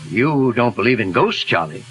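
An actor playing a steady, kindly older detective with a measured, reassuring manner in 1940s radio style. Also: male voice, medium pitch, close to an everyday voice, poor audio. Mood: calm, probing. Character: kindly, steady older male investigator, solemn. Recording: hissy 1940s radio recording, faint music.

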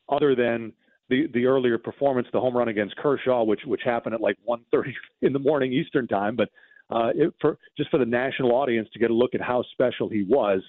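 A man's voice: mid-range voice, dry recording. A man speaking as a baseball broadcaster guest, reminiscing conversationally about games over a phone-like connection. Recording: narrow phone-like line.

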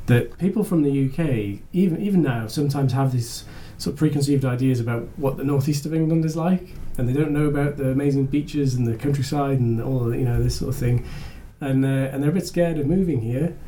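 A man's voice: mid-range voice, mellow, a little hiss or hum. A man hosting a podcast interview who talks in a loose, rambling way, often restarting his sentences. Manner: rambling, self-correcting, with light laughs. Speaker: podcast host. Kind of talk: conversational interview chat.

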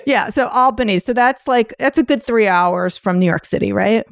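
A woman's voice: a little high, moderate pace, creaky, no echo. Chatty woman podcast host, dry sound. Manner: chatty, jumps between asides and questions. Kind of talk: casual interview chat. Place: New York.

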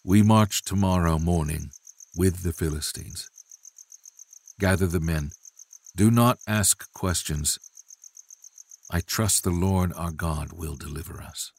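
A man's voice: medium pitch, big pitch swings, moderate pace, dry recording. A man playing a troubled, commanding Hebrew warrior leader in a dramatized Bible story, speaking with intensity. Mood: somber, resolute.

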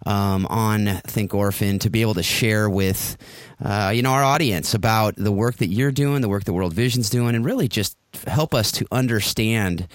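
Low-pitched American man hosting a podcast. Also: no echo. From United States. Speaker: podcast host. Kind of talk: conversational interview.

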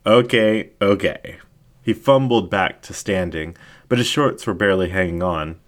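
A man reading a story aloud as narrator, with expressive scripted delivery. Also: sits low, normal speed.

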